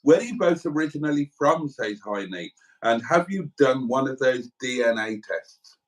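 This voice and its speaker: A man's voice: medium pitch, expressive, moderate pace, low-quality recording. A Welsh man from South Wales co-hosting a livestream, reading viewer comments aloud with playful, dry banter. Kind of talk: casual banter.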